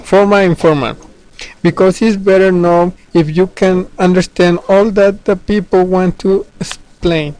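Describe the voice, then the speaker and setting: A man from Mexico with a Mexican accent, an adult English learner reading his thoughts aloud quickly and carefully. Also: sits high, steady tone, a little mellow, clear, resonant tone, rough recording.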